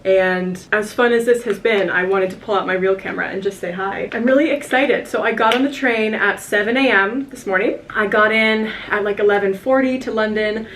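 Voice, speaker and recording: A woman's voice: mid-range voice, steady tone, fairly quick, hardly any pauses, fairly bright tone, slight background noise. An American woman vlogging casually to camera, a little tipsy, chatty and rambling. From United States. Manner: chatty, giggly, rambling with fillers. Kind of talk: casual vlog chat to camera. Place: hotel room indoors.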